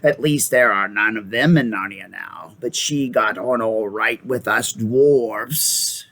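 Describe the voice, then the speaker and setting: A man's voice: lowish voice, takes it slowly, gravelly, a bit bright, heavily acted. A man voicing a bitter male dwarf who speaks coldly and snarls. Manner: cold, snarling.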